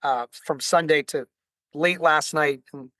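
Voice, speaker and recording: A man with a slightly hoarse voice, speaking earnestly and explaining things as a guest in a business webinar interview. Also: on the high side, a little slow, pushed and bright, no room sound. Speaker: guest, franchise company founder.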